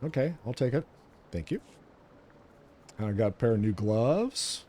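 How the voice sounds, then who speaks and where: A man's voice: mid-range voice, big pitch swings, measured pace, dark tone, a little hiss or hum. A man co-hosting a gaming show, chatting casually and thinking aloud as he plays. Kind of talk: casual gameplay chat.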